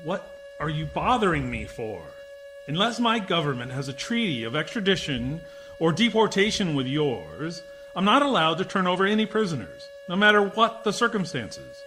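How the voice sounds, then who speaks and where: A man's voice: on the high side, sing-song, normal speed, music in the background, rough recording. A man playing a suspicious, sardonic and defiant military commander in a science fiction radio play, impatient and dryly sarcastic. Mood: sardonic, wary.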